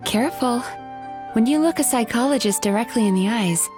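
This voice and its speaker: An actress playing a gentle, playful female psychologist in a video game, speaking in a soothing, coaxing, doting way. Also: fairly high pitch, expressive, a little fast, over music, lightly acted. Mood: doting, teasing.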